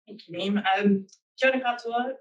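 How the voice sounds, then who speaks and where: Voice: mid-range voice, normal speed, recorded from a distance, muffled recording. A speaker with an American accent giving a webinar talk, earnest and thinking aloud with frequent ums. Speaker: guest speaker.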